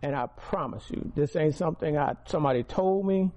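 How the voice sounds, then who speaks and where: A man's voice: a little high, fairly quick, warm tone. A man, giving a preaching testimony to a congregation, repeating key words and building volume on stressed phrases. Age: 60s.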